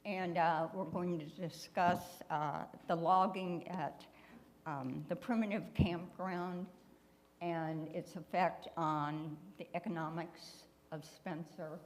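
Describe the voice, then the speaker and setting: A woman from the community making a loud, plain-spoken public comment, inviting people to a meeting. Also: fairly low pitch, fairly even intonation, slow pace. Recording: reverberant hall.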